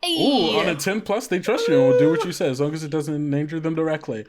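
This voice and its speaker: A game master narrating a tabletop roleplaying game in relaxed, hesitant speech full of fillers. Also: medium pitch, fast, heavy background noise.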